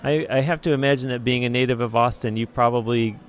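A man with a deep baritone voice, hosting a podcast interview in a measured, polished way. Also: fairly even intonation. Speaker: podcast host. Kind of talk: interview and host-read ad. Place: remote location. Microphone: handheld recorder with external mics.

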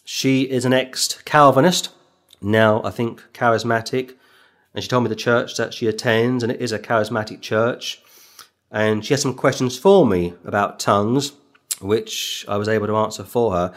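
Emphatic male preacher reading scripture. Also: moderate pace. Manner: emphatic, rising for quoted scripture, dropping low for asides. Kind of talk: sermon.

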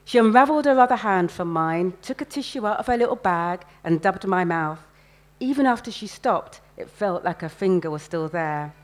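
A woman giving an expressive first-person reading of a story at a live event. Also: fairly low pitch, normal speed. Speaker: writer reading own fiction.

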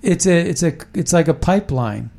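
A man's voice: a little high, animated, moderate pace, creaky, mellow. A man hosting a casual political talk show, rambling and emphatic, often restarting his sentences.

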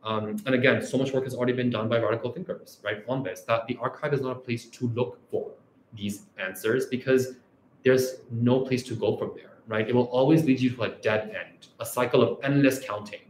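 A man's voice: medium pitch, fairly even intonation, fairly quick, roomy sound, slight background noise, poor audio. A man from Canada, an academic researcher giving a reflective scholarly talk who often closes his points with "right?". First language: Urdu.

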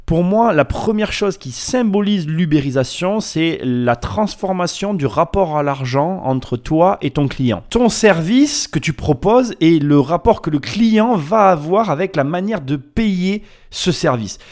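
A man's voice: fairly high pitch, animated, a little slow, few pauses, darkish tone. A man hosting a solo podcast in an informal, playful and emphatic way, often wandering off on tangents. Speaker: podcast host. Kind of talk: casual solo podcast monologue.

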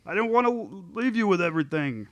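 Guy doing a whiny sitcom husband, clear and high, kinda pleading, close dry sound. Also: big pitch swings, a little fast, no echo. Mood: defensive, exasperated. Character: whiny, put-upon sitcom husband and father. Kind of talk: comedic table read of a sitcom script.

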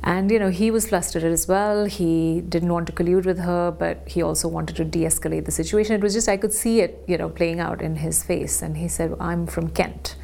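Health worker, woman from England, low gravelly voice, reflective and hesitant. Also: fairly even intonation, normal speed, hardly any pauses, warm tone. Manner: reflective, with frequent restarts and hesitations. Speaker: health service staff member. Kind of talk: personal account in an interview.

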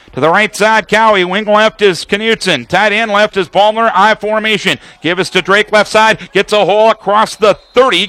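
A man with a high, bright voice works as a radio football announcer. He delivers live play-by-play in short, clipped phrases that lay out formations and action. His tone is forceful and projected, built for sports broadcasting. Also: dry recording. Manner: clipped descriptive phrases, excited calls on big plays.

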